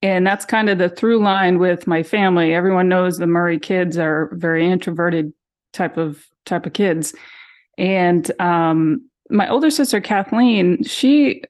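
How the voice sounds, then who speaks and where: A woman in her forties, raised in Massachusetts with her Boston accent mostly gone, recounting her family in a relaxed interview. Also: lowish voice, measured pace. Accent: General American, Boston accent mostly gone. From Massachusetts, USA. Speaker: interview guest, sister of missing woman. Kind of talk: casual interview conversation.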